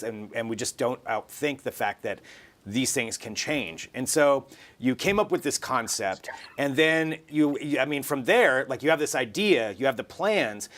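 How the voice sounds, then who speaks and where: A man's voice: medium pitch, fairly bright tone. A man hosting a live online show, presenting and interviewing in a chatty, conversational, unscripted way.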